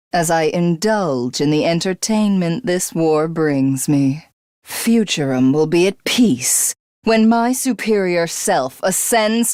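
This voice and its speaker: An actress voicing an arrogant, cruel video game villainess who craves godhood, with haughty, grandiose and menacing formal diction. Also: female voice, on the low side, no room sound, studio quality.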